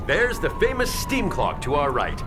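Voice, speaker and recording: Chirpy, corny tour bus guide. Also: male voice, a little high, wildly swooping pitch, normal speed. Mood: upbeat patter. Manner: chirpy scripted patter, folksy word play. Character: jovial longtime tour bus guide, corny dad-joker. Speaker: actor in a podcast radio play. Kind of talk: radio play acting. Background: vehicle sounds and music.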